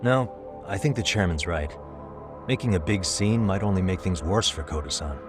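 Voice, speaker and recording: An actor playing a composed, confident male detective in an English video game dub, measured and matter-of-fact. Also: deep voice, expressive, over music. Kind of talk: English-dubbed video game cutscene acting.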